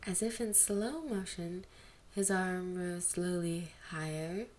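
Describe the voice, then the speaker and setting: A woman narrating fan fiction aloud in an expressive, amateur storytelling style. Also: on the low side, takes it slowly. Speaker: amateur podfic reader. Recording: amateur home recording, background noise.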